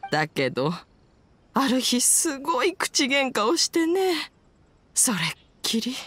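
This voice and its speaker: A woman voicing a kindly, nostalgic old mother in an anime game, gentle and reminiscing. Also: sits high, sing-song, slow pace, slight background noise, heavily acted.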